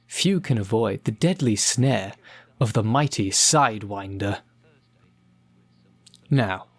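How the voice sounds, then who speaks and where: A man playing a mockingly polite, theatrical supervillain in an audio roleplay, talking with deliberate, taunting menace. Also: medium pitch, dry recording. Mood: smug, taunting. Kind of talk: audio roleplay addressed to the listener.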